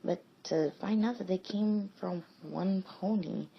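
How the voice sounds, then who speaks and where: Female amateur voice actor, creaky and reflective, halting delivery, dull home recording with faint noise. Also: medium pitch. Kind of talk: informal spoken review.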